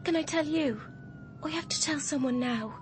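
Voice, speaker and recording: Woman playing a high-voiced, frightened young girl. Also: moderate pace, noisy background, lightly acted. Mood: urgent, confessing. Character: frightened, insistent young girl in hospital, confessing. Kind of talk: radio drama acting.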